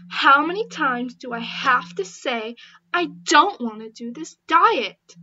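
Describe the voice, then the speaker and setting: Casting: amateur female voice actor, high pitch, unhurried audition reads, slightly dull audio. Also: pushed and bright. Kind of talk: acted audition lines in various characters.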